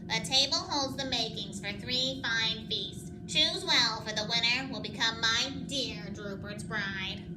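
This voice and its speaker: A woman as a loud, imperious storybook queen. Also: fairly high pitch, lightly acted. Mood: commanding. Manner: loud, drawn-out, commanding, haughty delivery. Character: Queen Zelda, imperious, cold, bossy storybook queen. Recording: echoey room with loud background noise.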